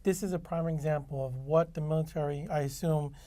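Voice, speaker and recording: A man's voice: fairly high pitch, fairly even intonation, brisk, mellow, no room sound. A man hosting an interview, earnest, with short affirmations. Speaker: host.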